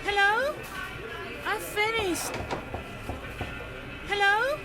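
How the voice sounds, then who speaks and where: A daft old lady in a radio comedy. Her voice is extremely high and thin, and she speaks slowly and bluntly. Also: bright, forceful tone, faint music behind, full character voice. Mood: plaintive. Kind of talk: radio play comedy acting.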